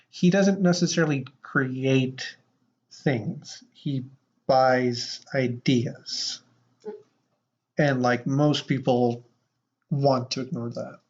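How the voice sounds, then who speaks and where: A podcast co-host in casual chat with a halting, wry way of talking, on a dull, lo-fi recording. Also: medium pitch, a little mellow. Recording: dull, lo-fi sound.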